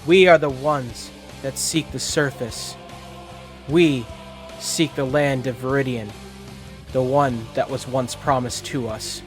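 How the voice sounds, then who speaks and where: A man's voice: medium pitch, fairly even intonation, slow pace, faint music behind, lightly acted. A man playing a solemn rebel chronicler who longs for the surface, in grave, declamatory fantasy prologue narration. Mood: resolute.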